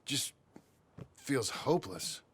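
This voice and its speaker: An actor playing a gruff, weary American outlaw turned ranch hand, speaking tersely in plain rural words with a gravelly voice in a game drama. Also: male voice, medium pitch, big pitch swings, slow pace, heavily acted. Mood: downcast. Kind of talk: naturalistic video game drama acting.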